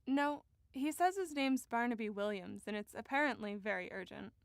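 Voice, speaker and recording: A polite, matter-of-fact secretary, acted by a woman in a radio play. Her voice is fairly high and the sound is very dry. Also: moderate pace, no echo, natural voice. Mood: polite, brisk. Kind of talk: radio drama acting.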